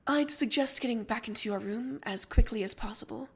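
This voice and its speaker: Woman playing a soft, composed, high-voiced girl. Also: slightly rough. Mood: cool advice. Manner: soft, quiet, measured. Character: composed, teasing, knowing young girl on the phone.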